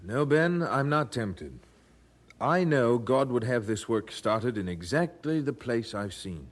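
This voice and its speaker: An actor playing a devout, firm colonial preacher in an audio drama, speaking earnestly in formal, articulate phrases. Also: male voice, medium pitch, sing-song. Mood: resolute. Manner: formal, measured wording, earnest.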